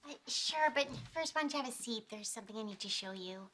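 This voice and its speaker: High-voiced, blunt sitcom wife. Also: female voice, expressive, quick pace, a bit bright. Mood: tense, firm. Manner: matter-of-fact, blunt. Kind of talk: sitcom acting before a studio audience. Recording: faint studio audience noise.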